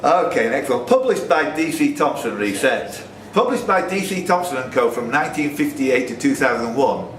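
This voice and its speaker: A man's voice: mid-range voice, sing-song, moderate pace, hardly any pauses. A man hosting a live quiz, reading out questions and bantering in a teasing, jokey way. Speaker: quiz master. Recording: roomy, noisy recording from a distance.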